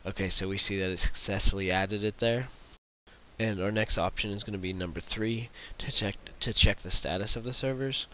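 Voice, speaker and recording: Casting: male tutorial narrator, low and crisp-edged, informal and unhurried, casual screen-demo delivery. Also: fairly bright tone. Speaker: tutorial presenter demonstrating own script. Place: voice-over for a screen recording. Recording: hissy voice-over recording.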